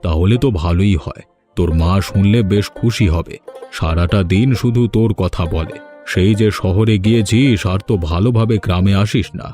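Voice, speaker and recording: A man playing a village gentleman and father in a cartoon folk tale, speaking with firm authority. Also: fairly low pitch, moderate pace, soft background music, natural voice. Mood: pleased, fatherly. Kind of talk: Bengali fairy tale cartoon dubbing.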